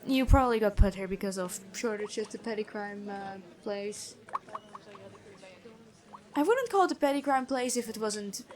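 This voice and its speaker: A woman playing a stern but kind, noble-born prison guard in a fantasy ASMR roleplay, talking in a measured, conversational way. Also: medium pitch, animated, a little mellow, close to an everyday voice. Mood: confiding.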